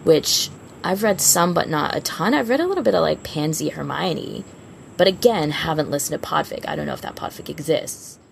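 Low, very creaky-voiced woman podcaster. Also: animated, a little fast, slight background noise, poor audio. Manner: informal, rambling, self-interrupting. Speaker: podcast co-host. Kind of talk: casual fan podcast chat.

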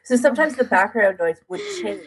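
A woman's voice: on the high side, lively intonation, a little fast, background noise. A woman co-hosting a casual podcast, chatting and explaining her routine in detail in an informal way. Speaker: podcast co-host.

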